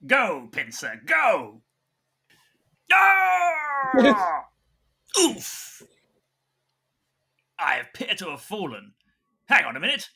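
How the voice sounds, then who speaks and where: A man's voice: sits high, wildly swooping pitch, extremely slow, long pauses, bright, forceful tone, full character voice. A man playing an eager, breezy, bumbling hospital medic in an improvised comedy roleplaying game. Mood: eager, flustered.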